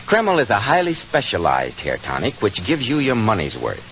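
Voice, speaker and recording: A man with an American accent announcing in 1940s radio style, delivering a polished, emphatic sales pitch. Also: huge pitch swings, moderate pace, soft background music. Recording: muffled 1940s radio recording, faint noise.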